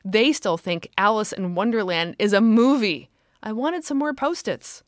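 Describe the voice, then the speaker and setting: A woman's voice: medium pitch, normal speed, no room sound, studio quality, natural voice. A woman voicing a sassy, demanding young girl who asks questions pertly and insistently.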